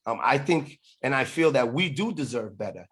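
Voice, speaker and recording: A middle-aged man speaking as a political candidate in an online meeting, halting, with frequent pauses and repeated phrases. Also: mid-range voice, lively intonation, a bit bright, breathy edge. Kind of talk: campaign speech to an online meeting.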